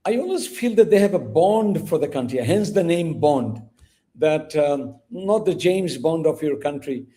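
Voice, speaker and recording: A man's voice: fairly high pitch, animated, a little slow, warm tone. A man interviewing a guest, courteous and meandering, with frequent fillers and restarts. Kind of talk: interview conversation.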